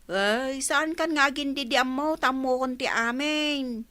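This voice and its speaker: Feisty filipino maid w ilocano accent, high bright voice, brash n scolding, radio comedy drama. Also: female voice, unhurried, no room sound. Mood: defiant, scolding. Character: feisty, bossy household maid, sharp-tongued and meddling.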